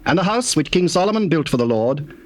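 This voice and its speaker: A man narrating scripture from a script in a formal, measured manner. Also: on the high side, sing-song, a little hiss or hum.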